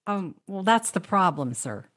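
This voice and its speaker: A woman's voice: mid-range voice, lively intonation, measured pace, a bit bright. An actress playing a cautious, earnest female government agent in a comedic radio play. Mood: worried protest. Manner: earnest, protesting.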